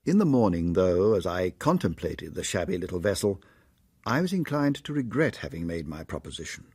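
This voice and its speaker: World-weary genteel doctor, precise diction, lively intonation, husky edge, radio drama. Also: male voice, deep voice, brisk, heavily acted. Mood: reflective. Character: courteous, world-weary, educated male doctor abroad. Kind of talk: radio drama acting with first-person narration.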